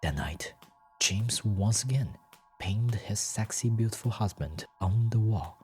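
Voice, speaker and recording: A man's voice: fairly low pitch, unhurried, darkish tone, breathy edge, soft background music. A man reading scripted fiction as a story narrator.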